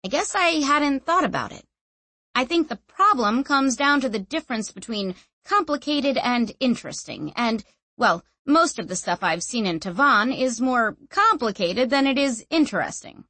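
A woman's voice: fairly high pitch, lively intonation, moderate pace, sharp tone, dry recording, pitched above the performer's own voice, exaggerated voice. A woman voicing an ancient sentient object that talks through a young woman's body in a casual, slangy, breezy way. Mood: frank, explaining. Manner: casual, slangy, matter-of-fact explaining.

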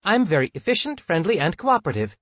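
A man's voice: high-pitched, wildly swooping pitch, fast, fairly bright tone, breathy edge, no room sound, pitched above the performer's own voice, heavily acted. A man voicing a fussy, chirpy, self-satisfied robot drone with prim, formal manners, as in an audiobook. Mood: eager, prim. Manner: prim, formal, mock-polite phrasing.

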